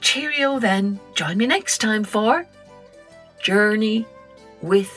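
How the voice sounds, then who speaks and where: A woman host narrating a children's story, gentle, expressive and unhurried. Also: mid-range voice, soft background music.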